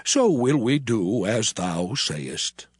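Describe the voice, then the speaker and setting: Slow, contrite male-acted nobleman. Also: fairly low pitch, big pitch swings, husky, no room sound, clean studio sound, heavily acted. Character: contrite nobleman humbly yielding and promising restitution. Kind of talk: dramatized scripture acting.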